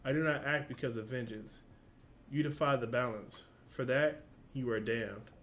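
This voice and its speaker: A man's voice: mid-range voice, normal speed, fairly bright tone, a little hiss or hum, lightly acted. A man reading aloud the lines of a grim male ninja master from a story, in his own voice. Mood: stern. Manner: read aloud plainly from a story.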